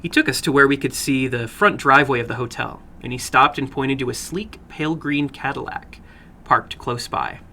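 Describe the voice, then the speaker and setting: A man narrates horror fiction in a dramatic read. His voice has a crisp, bright edge and plenty of creak, at a middling pitch. Faint noise sits behind him. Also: normal speed.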